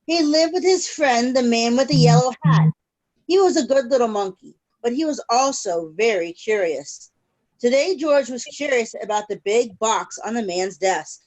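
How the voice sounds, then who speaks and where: A woman with a high voice reads a storybook aloud to small children. She speaks as a storyteller, moving between the text and simple questions to her young listeners, over a video call. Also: animated, a little mellow, low-quality recording. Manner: pauses to check in with young listeners.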